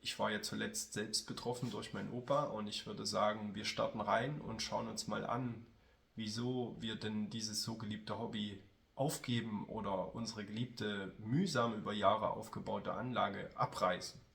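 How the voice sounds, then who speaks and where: A man with a cold, addressing his viewers in a calm, chatty way as he narrates a hobby video. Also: on the low side, moderate pace, creaky. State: has a cold. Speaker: model railway YouTuber. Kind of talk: casual spoken video narration.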